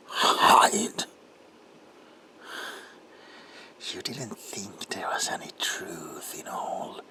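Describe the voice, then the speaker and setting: A man playing a sadistic, gloating monstrous Victorian villain in a horror ASMR roleplay, with a whispery rasp and a slow, menacing, theatrical delivery. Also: sits low, heavily acted. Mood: gloating menace. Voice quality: whispery, creaky, breathy rasp.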